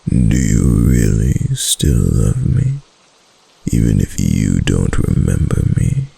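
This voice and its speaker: Casting a male actor for a clingy yandere fox-spirit husband, intimate ASMR roleplay; slow, heavily creaky murmur, tender and obsessive. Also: wildly swooping pitch, dark tone, exaggerated voice. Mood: adoring, playful. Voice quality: deep, breathy, gravelly. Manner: slow, hesitant, coaxing endearments.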